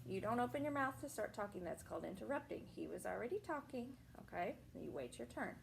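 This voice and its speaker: A woman reading a picture book aloud to young children as a teacher, explaining things simply and pausing for questions and asides. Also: medium pitch, big pitch swings, creaky, a little hiss or hum.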